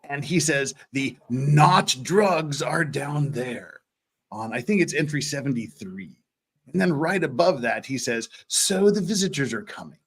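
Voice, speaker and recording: A man's voice: on the high side, expressive, a little slow, a little hiss or hum. A man playing an earnest trial lawyer arguing to a judge in a dramatic transcript reading. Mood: quoting evidence.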